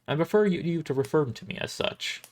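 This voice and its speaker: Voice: mid-range voice, animated, fairly quick, darkish tone, voice pushed up, natural voice. A performer voicing a sweet but fierce woman who scolds like a schoolteacher in an audio drama. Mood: cold, firm. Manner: schoolteacher-like, emphatic.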